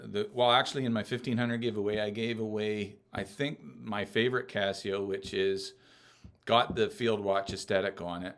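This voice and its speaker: A man hosting a casual podcast chat, teasing his guest and laughing often, with many halting restarts. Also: fairly low pitch, fairly bright tone. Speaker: podcast host.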